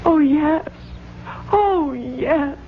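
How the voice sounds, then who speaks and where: An actress playing a tender-hearted, fearless young daughter in a radio drama, speaking earnestly and imploringly. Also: female voice, high voice, drawn-out words, heavily acted. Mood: compassionate. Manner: earnest, pleading. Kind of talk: 1970s radio drama acting. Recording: tinny, hissy old radio recording.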